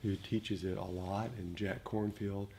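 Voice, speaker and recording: A man giving a dharma talk as a meditation teacher, speaking reflectively and gently, with frequent pauses. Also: lowish voice, flat delivery, creaky. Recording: noticeable background noise.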